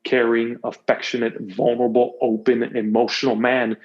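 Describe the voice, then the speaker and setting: A man's voice: medium pitch, flat delivery, moderate pace, a little mellow. Male podcast guest, mid-range voice, earnest and emphatic, conversational, slightly dull recording. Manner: earnest, emphatic, repeats words and phrases. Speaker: podcast guest, motivational coach. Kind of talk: casual conversational interview talk.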